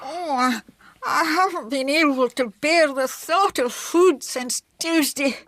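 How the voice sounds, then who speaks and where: A frail Scottish spinster in a radio drama. Her voice is high and very unhurried. She sounds genteel and plaintive, with a stubborn streak. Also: a bit bright, dry recording. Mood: anxious. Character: frail, polite but stubborn ailing spinster sister.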